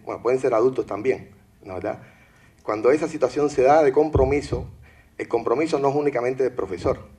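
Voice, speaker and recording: A man in his fifties from Peru, giving a conference presentation on teaching. He talks in a relaxed way and keeps checking in with "¿no verdad?" and "digamos". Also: medium pitch. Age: 50s. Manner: filler-heavy, asks "¿no verdad?" often. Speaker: conference speaker. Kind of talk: educational presentation. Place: conference hall.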